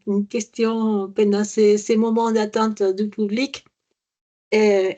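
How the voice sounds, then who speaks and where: A woman moderating a conference panel who asks her questions haltingly, searching for words. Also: fairly high pitch, mellow, highs cut off.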